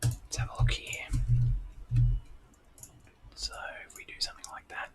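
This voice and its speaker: A man with an Australian accent, whispering softly while he plays a game and chats with viewers, rambling and full of fillers. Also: measured pace. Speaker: game streamer reading chat. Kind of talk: whispered live gameplay stream.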